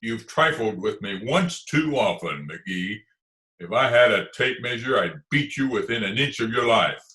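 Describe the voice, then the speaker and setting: A man acts out a pompous, blustering neighbour in an old radio comedy. He takes his words slowly and swoops widely in pitch, easily irritated, in a roomy, echoing recording. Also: expressive, bright, forceful tone. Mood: indignant. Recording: roomy, echoey internet call recording.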